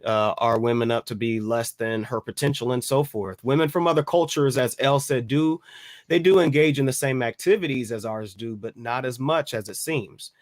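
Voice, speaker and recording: An American man with a medium-pitched voice and a very dry sound. He speaks haltingly as a podcast panelist, circling back over his words in casual discussion. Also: dry recording.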